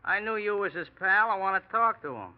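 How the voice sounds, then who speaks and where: Insistent small-time heavy, high voice, rough grammar, menacing, muffled vintage radio noir. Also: male voice, lively intonation, a little fast. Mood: insistent, threatening. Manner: insistent, ungrammatical. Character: blunt, menacing male mob messenger, uneducated speech. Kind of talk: 1940s radio noir acting. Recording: muffled old radio recording.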